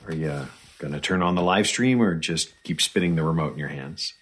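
A man's voice: very deep voice, a little fast. A man playing an earnest government researcher in an audio drama, hesitant, fumbling and apologetic in a natural style. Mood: earnest, sympathetic.

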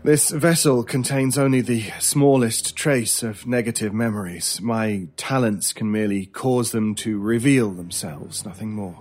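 A man acting a mysterious, intense stranger in an old-time radio horror drama, speaking deliberately with theatrical pauses. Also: fairly low pitch, darkish tone. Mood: grave, explanatory. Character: intense mysterious male stranger, brooding paranormal expert. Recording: music under the voice. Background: music.

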